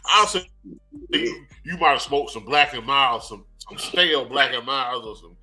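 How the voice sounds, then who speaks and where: A man co-hosting a podcast in casual chat, talking in an animated, emphatic, joking way. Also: mid-range voice, moderate pace, faint music behind. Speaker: podcast co-host.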